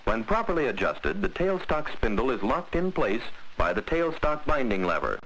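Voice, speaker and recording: An American man reading a scripted 1940s instructional film narration in a measured, precise, instructive way. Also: medium pitch, very animated, a bit bright, low-quality recording. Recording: old 1940s film soundtrack, faint hiss.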